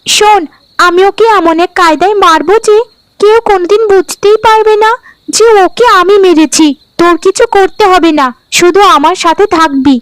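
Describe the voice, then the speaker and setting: A woman's voice: extremely high pitch, normal speed, no echo, low-quality recording. A woman voicing a cruel, scheming young sister-in-law in a fairy-tale cartoon, with a sneering, menacing manner. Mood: menacing, gloating. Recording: background music under the voice.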